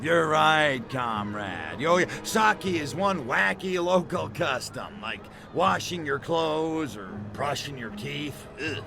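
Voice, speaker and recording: An actor playing a cocky, crude alien invader in a video game, brash, sarcastic and swaggering. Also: male voice, a little high, expressive, slow, creaky, hardly any pauses, exaggerated voice. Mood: sardonic. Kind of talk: video game cutscene acting. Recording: background music under dialogue.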